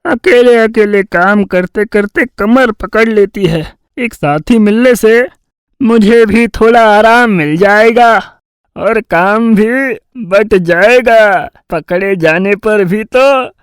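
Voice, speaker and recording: A man's voice: high-pitched, slow pace, smooth, no echo, studio quality. A man voicing a boastful, scheming, lazy village thief in a Hindi cartoon tale, with a bragging, cajoling delivery.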